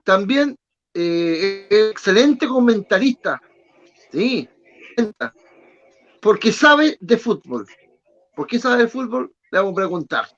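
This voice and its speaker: A man hosting a casual interview, chatty and quick with short acknowledgments. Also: very high voice, a bit bright. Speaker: radio show host. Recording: dull lo-fi sound.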